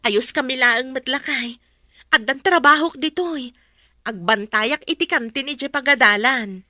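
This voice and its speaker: Casting: hardworking young mother, highish bright voice, dry close studio sound, sincere radio-drama delivery. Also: measured pace, no room sound. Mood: cheerful. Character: young mother working at a school canteen, dutiful. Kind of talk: Ilocano radio drama acting.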